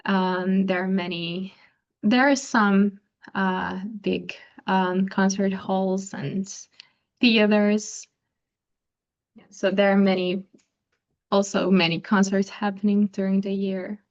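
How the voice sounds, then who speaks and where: A young woman from Croatia, a native Croatian speaker, answering interview questions softly and carefully, with frequent ums and restarts. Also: medium pitch, fairly even intonation, slow pace, highs cut off. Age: young adult. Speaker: interview guest, pianist.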